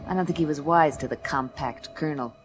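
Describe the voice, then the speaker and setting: A woman's voice: low voice, fairly quick, close to an everyday voice. A woman playing a tough, sardonic female private eye in a noir radio drama, speaking in a dry, unimpressed, hardboiled way. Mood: cool, wry. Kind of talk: first-person noir radio drama narration and dialogue. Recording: music under the voice. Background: music.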